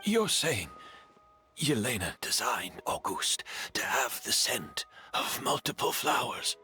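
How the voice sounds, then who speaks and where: An actor playing an older, defeated but unrepentant master perfumer in a video game, measured and resigned. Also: male voice, mid-range voice, big pitch swings, pushed and bright, faint music behind, full character voice. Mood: weary, wistful. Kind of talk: scripted video game acting.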